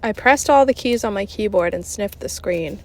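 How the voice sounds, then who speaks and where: Female children's story reader, mid-pitched, conversational, very animated delivery. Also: normal speed, poor audio. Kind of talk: expressive children's audiobook narration.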